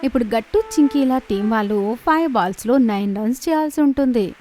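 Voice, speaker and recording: High-voiced woman narrating a children's story. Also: animated, fairly quick, mellow, soft background music.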